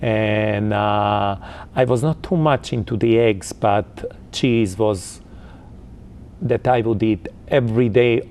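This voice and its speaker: A man in his fifties from Croatia with a Croatian accent, answering interview questions in a halting way with many fillers. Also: on the low side, darkish tone, slight background noise. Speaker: interview guest.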